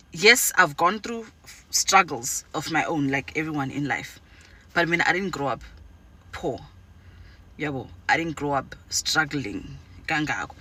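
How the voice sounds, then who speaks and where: Husky, bright-voiced woman from Zimbabwe. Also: low-pitched. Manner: animated, switching languages mid-sentence, blunt and profane. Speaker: interviewee. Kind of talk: candid talk on camera.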